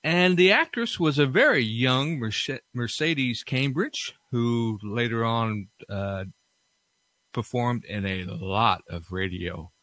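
A man hosts a podcast in an informal radio style. His mid-pitched voice is lively in tone but unhurried and halting, recorded very dry. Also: no room sound. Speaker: podcast host. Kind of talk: informal scripted radio-show hosting.

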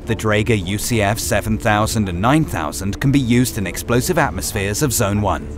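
A man reading a scripted training film narration in a measured, instructional manner over music. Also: fairly low pitch.

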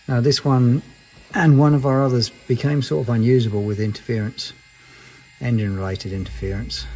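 A man's voice: fairly low pitch, darkish tone, slight background noise, poor audio. A man giving an informal technical explanation in a matter-of-fact, understated and somewhat hesitant way, as a maintenance engineer. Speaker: aircraft maintenance engineer presenting.

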